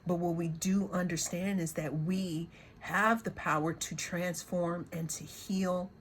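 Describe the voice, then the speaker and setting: A woman giving a reflective spiritual card reading, her voice hoarse, talking in unhurried, circling phrases that often repeat words. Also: lowish voice, fairly even intonation, a little hiss or hum. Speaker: tarot and oracle card reader.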